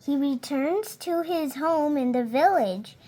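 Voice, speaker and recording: A young reader slowly and carefully reading a picture book aloud, now and then stumbling on a word and fixing it. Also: female voice, sits high, expressive, dark tone, slight background noise. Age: child. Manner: slow careful reading with small slips and self-corrections.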